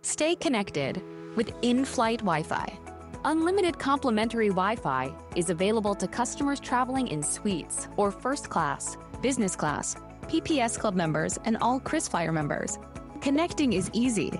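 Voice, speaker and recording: A woman's voice: on the high side, very animated, moderate pace. A woman delivering scripted promotional narration as an announcer for an airline video. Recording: loud music under the voice. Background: music.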